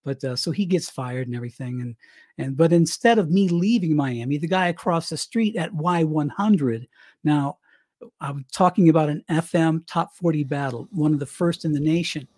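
Older male guest, youthful slightly high voice, husky edge, lively storyteller. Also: moderate pace, dry recording, studio quality. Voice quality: young-sounding voice. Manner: chatty, animated storyteller. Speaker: guest, former radio DJ. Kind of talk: casual storytelling interview.

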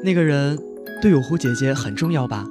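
A woman playing an eager, innocent and polite young boy in a fantasy audio drama, earnest and lively. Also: extremely low pitch, a little fast, over music.

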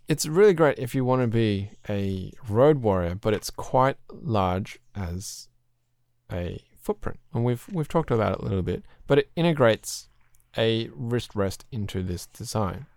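A man hosting a podcast, chatting casually and rambling a little, clearing his throat often, his voice slightly throaty. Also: mid-range voice, animated, slow pace, a little mellow, no room sound, clean studio sound. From Australia. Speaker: podcast host. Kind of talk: casual chat about keyboards.

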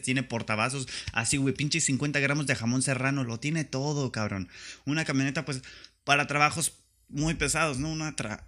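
A man's voice: medium pitch, a bit bright. Slangy northern Mexican man, sarcastic. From Tijuana, Mexico. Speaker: podcast host. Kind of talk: comedic monologue rant.

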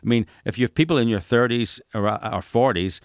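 A man's voice: medium pitch, a little fast, clean tone, no room sound, clean studio sound. A middle-aged man, hosting a casual interview and repeating phrases for emphasis. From Northern Ireland. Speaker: interviewer, podcast host. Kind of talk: casual conversational interview talk.

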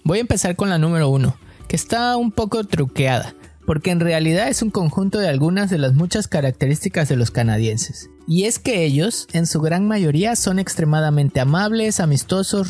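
A man with a Mexican coastal accent co-hosting a podcast, chatting casually and telling stories in a relaxed, slangy way. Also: on the high side, moderate pace, soft background music. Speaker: podcast co-host.

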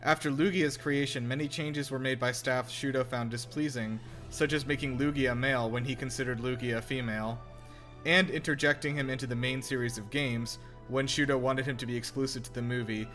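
A man's voice: mid-range voice, steady tone, brisk, fairly bright tone, faint music behind. A man hosting a casual film reaction chat with wry, teasing banter. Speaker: podcast host.

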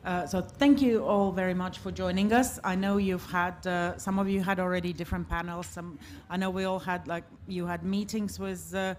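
Woman from Latvia, lowish voice, hesitant moderator with lots of fillers; live event recording with a faint background hum. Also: steady tone, normal speed, few pauses, a little mellow. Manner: hesitant, many filler sounds. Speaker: panel moderator.

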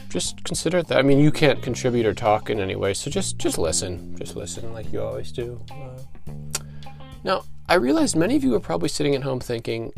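A man with a Midwestern American accent hosting a solo comedy podcast, speaking in a halting, stammering, mock-earnest and self-important deadpan. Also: medium pitch, creaky. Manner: halting, stammering delivery with repeated words. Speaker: podcast host.

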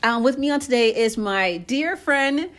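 A woman's voice: high-pitched, lively intonation, a little slow, a bit bright. A woman hosting a casual chat show, enthusiastic and gushing, answering with lots of "wow" and "awesome.". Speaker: host.

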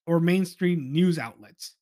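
Male podcast host, high register, informal and exasperated, off-the-cuff opinion commentary. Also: a little slow, dark tone. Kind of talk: casual solo opinion commentary, reading aloud from an article.

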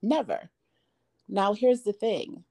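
A woman's voice: mid-range voice, expressive, slow, creaky, darkish tone. A woman in her thirties co-hosting a casual talk podcast, making her points emphatically with rhetorical questions. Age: 30s. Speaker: podcast co-host. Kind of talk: casual chat.

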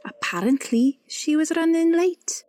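A British woman playing a bossy, exasperated senior political staffer in an audio comedy, brisk and dry. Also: high-pitched, animated, a little mellow, no room sound. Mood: flustered, irritated. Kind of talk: audio comedy drama acting.

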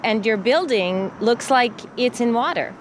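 A woman radio reporter narrating a feature and questioning people outdoors in a measured, probing way. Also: a little high, lively intonation, slight background noise. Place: outdoors by a flooded park, traffic nearby.

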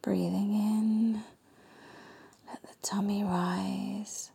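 A woman guiding a meditation in a gentle, soothing, half-whispered voice. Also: medium pitch, little pitch movement, vocal fry, slightly rough. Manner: gentle, slow and soothing instructions. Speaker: meditation guide. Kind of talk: guided meditation.

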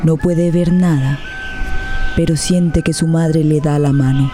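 A woman's voice: low voice, fairly even intonation, unhurried. A woman reading dramatic scripted narration for a radio drama. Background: music.

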